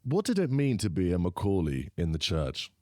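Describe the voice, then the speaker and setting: A man hosting a podcast, reading a scripted introduction and then asking measured interview questions. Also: low-pitched, wildly swooping pitch, darkish tone, dry recording, studio quality. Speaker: podcast host.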